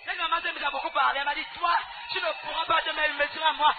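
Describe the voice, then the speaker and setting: A woman works as a church interpreter, relaying a testimony live in emphatic, animated bursts. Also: high voice, fast talker. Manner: emphatic, echoing the preacher. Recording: tinny phone-like band, loud music.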